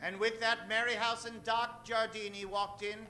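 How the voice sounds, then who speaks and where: Very high-voiced, dramatic male radio narrator. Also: flat delivery, moderate pace, sharp tone, soft background music. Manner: dramatic, mock-serious. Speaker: narrator and host. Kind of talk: theatrical old-time radio serial narration.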